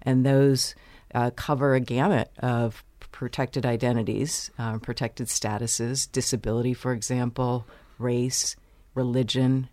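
An American woman, a university vice president, answering interview questions in a measured, explanatory way, pausing to choose her words. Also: extremely low pitch, steady tone, mellow, no echo. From United States.

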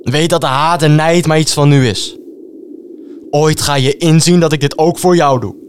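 An actor playing a stern, contemptuous Nazi collaborator in a radio drama, speaking in a hard, resolute, taunting way. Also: male voice, fairly high pitch, fairly even intonation, moderate pace, close to an everyday voice. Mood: cold, resolute. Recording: clear background noise and ambience.

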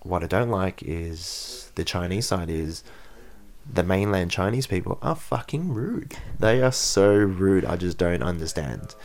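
Interview guest, man, 30s, very deep voice, outspoken and frank. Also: expressive, measured pace, darkish tone. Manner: frank, blunt opinions. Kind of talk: casual interview chat. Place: Japan.

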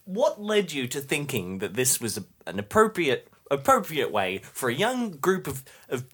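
Man playing a husky, flustered, pleading captive. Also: medium pitch, very animated, normal speed, a bit bright. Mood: indignant. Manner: stammering, repetitive, exasperated. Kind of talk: improvised comedic roleplay.

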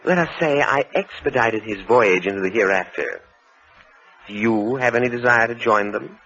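An actor playing a cold-blooded racketeer and blackmailer in an old radio crime drama, with a menacing, mocking, wordy manner. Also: male voice, medium pitch, normal speed, bright, forceful tone, breathy edge. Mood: cold, threatening. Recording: muffled vintage radio recording.

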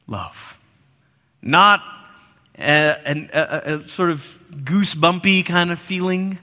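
A middle-aged man from Malaysia preaching a sermon in a church, swinging between loud emphatic lines and soft hushed ones. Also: a little high. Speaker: pastor. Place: church sanctuary. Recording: long reverb of a church hall.

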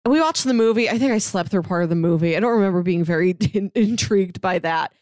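A woman's voice: fairly low pitch, quick pace, darkish tone, no echo, studio quality. An American woman podcast co-host retelling stories in casual, rambling and emphatic conversation. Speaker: podcast co-host discussing comics and books.

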